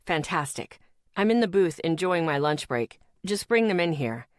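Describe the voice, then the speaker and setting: A woman voicing a cocky, teasing young boyfriend with a smug, slow drawl, her voice pitched down for him. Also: lively intonation, dry recording, studio quality, lightly acted.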